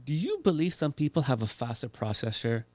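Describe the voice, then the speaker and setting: A man's voice: mid-range voice, lively intonation, brisk, darkish tone, no echo. A man hosting an interview, asking casual, playful questions and adding his own guesses. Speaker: interview host.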